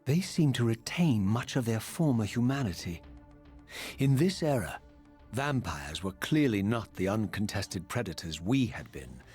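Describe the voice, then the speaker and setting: A vengeful, tormented wraith in a gothic video game. The voice sits on the low side and speaks with eloquent, archaic formality and grim resolve. Faint music plays underneath. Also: male voice, sing-song, measured pace, darkish tone. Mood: brooding, solemn. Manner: formal, archaic, solemn diction. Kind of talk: dramatic scripted video game narration and dialogue.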